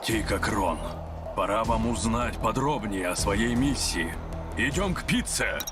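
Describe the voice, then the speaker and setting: A man's voice: fairly low pitch, lively intonation, a little slow. A man voicing a stern, easily exasperated alien combat instructor in a cartoon dub, commanding, dramatic and sardonic. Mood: briefing. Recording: loud music behind.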